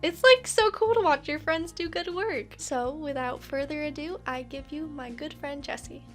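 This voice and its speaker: A woman's voice: sits high, moderate pace, faint music behind. A woman hosting a video review, talking casually and reflectively to camera in an earnest, candid way.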